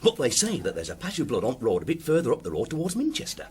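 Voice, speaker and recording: Nosy radio-play bobby: colloquial, suspicious, mid-pitched with hugely animated inflection. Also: male voice, fast talker. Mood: chatty. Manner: colloquial, inquisitive. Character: chatty, nosy, suspicious male police constable. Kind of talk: vintage detective radio drama acting.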